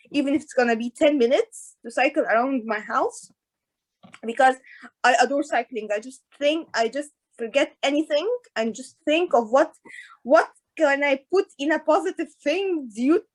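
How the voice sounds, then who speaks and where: A woman, an orthodontist guest talking in a casual interview over a video call, with hesitant pauses and restarts. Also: sits high, poor audio. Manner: hesitant, many restarts and fillers. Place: in Dubai.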